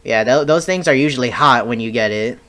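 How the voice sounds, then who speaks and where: A man's voice: mid-range voice, brisk, bright, forceful tone. Laid-back joking male podcast host. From United States. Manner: laid-back, joking, lots of fillers and laughter. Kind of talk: casual interview chat.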